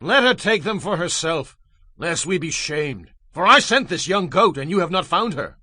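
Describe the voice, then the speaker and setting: A man's voice: a little high, expressive, normal speed, bright, forceful tone, husky, no echo, exaggerated voice. A man playing a stern, emotional patriarch in a dramatic audio Bible production. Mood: indignant.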